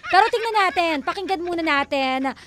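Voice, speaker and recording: A woman's voice: very high voice, expressive, fairly quick, background noise. A woman hosting a radio show with casual, playful banter and an exclamatory, teasing way of talking. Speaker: radio DJ host. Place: radio studio.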